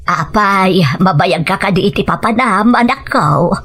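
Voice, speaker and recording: A woman voicing a gentle, concerned elderly mother-in-law with an Ilocano accent, in a motherly, cautioning manner for an audio drama. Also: medium pitch, expressive, a little slow, husky, voice pushed down, full character voice. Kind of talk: radio-style drama acting.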